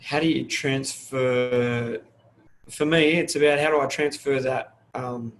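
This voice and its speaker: A man's voice: medium pitch, steady tone, unhurried, slight background noise, low-quality recording. A middle-aged man, a fire service manager talking openly in an interview, restarting his sentences often. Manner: open, rambling, many restarts. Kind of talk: casual interview talk.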